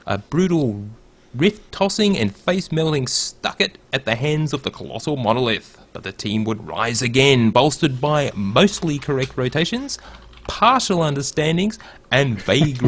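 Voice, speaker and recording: A man hosting a casual gaming podcast who runs through news and reads a write-up aloud. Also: medium pitch. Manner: reads a write-up aloud in a mock movie-trailer voice. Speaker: podcast host.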